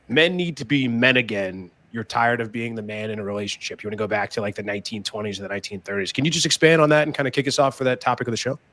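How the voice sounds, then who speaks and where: A man's voice: medium pitch, a bit bright. A man hosting a casual panel podcast, upbeat and keeping the talk moving. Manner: upbeat, keeps things moving. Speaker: podcast host and moderator.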